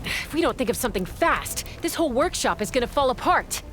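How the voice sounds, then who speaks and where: A woman's voice: high voice, animated, fairly quick, a bit bright, faint music behind. An actress playing a bold, stubborn young female peacekeeper in a video game, with a folksy, brash and protective manner. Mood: tense, urgent.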